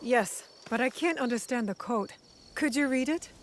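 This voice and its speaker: An actress playing a blunt, focused assassin who speaks curtly. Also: female voice, fairly high pitch, moderate pace, slight background noise, lightly acted. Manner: curt, no-nonsense.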